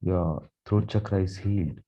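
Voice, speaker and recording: A man guiding a meditation with affirmations in a slow, calm, soothing delivery with long pauses. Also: low-pitched. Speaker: meditation guide, Reiki teacher. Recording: dull, muffled lo-fi sound.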